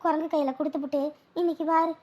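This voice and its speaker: A woman's voice: high-pitched, steady tone, very fast, a little mellow, muffled recording, close to an everyday voice. An actress playing a bitter, self-pitying old mother-in-law who grumbles and complains. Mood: aggrieved.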